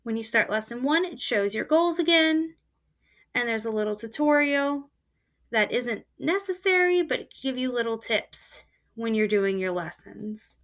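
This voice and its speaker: A woman with a fairly high voice talks through a screen-recorded software tutorial. She explains each feature step by step in a steady, plain voice-over. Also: normal speed. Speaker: student presenter.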